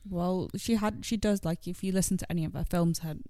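A woman's voice: on the low side, fairly even intonation, dark tone, no room sound, studio quality. A woman hosting a podcast, asking questions in a chatty, curious, casual way. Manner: chatty, curious, quick to react with laughs and "ooh". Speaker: podcast host. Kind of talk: casual interview chat.

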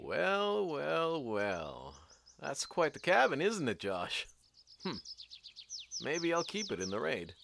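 A man's voice: fairly high pitch, huge pitch swings, slow, creaky, background noise, full character voice. A man playing a pompous, self-righteous, scheming villain in a comic audio drama, declaiming bossily. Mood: smug scheming.

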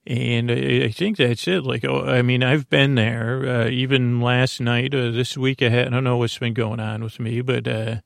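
A man's voice: medium pitch, steady tone, normal speed, creaky, hardly any pauses, no room sound, clean studio sound. A middle-aged man hosting a sleep podcast, talking in a meandering, hesitant bedtime monologue full of uhs. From Bay Area, California.

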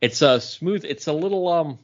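A man co-hosting a podcast, chatting casually in an animated, rambling way. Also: on the high side, normal speed. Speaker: podcast co-host. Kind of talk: casual pop culture and beer chat. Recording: dull, lo-fi podcast audio.

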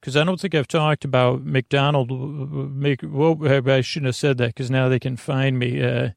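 A man with a gravelly voice hosting a sleep podcast, rambling through a bedtime monologue, over-explaining and trailing off mid-thought. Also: medium pitch, steady tone, normal speed, dry recording, studio quality. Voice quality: gravelly, creaky. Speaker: podcast host.

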